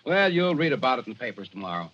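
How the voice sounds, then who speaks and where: A man's voice: mid-range voice, big pitch swings, racing, a bit bright. A man acting a folksy, sardonic police officer in a 1940s radio drama. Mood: affable. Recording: dull, noisy vintage 1940s radio recording.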